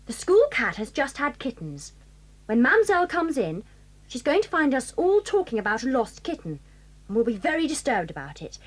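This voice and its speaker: An actress playing a mischievous English boarding-school girl, a ringleader with eager manner and crisp diction, in a children's audio drama. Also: sits high, very animated, normal speed, poor audio. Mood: scheming.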